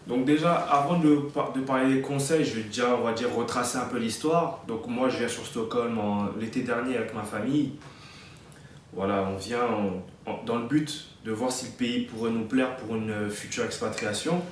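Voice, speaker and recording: A man's voice: medium pitch, moderate pace, heavy reverb, distant mic, slight background noise. A man in his late twenties from France, giving casual advice in a chatty vlog, with many fillers and restarts. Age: 20s. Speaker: vlogger.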